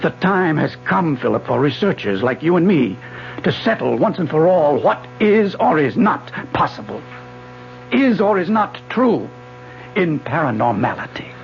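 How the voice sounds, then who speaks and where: Obsessed old prof lecturing hard, gravelly lively voice, dull old radio sound. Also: male voice, a little high, measured pace, full character voice. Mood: persuasive. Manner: insistent, lecturing. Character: retired older male physics professor, obsessive and intense. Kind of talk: 1970s radio drama acting. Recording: muffled old radio broadcast with music.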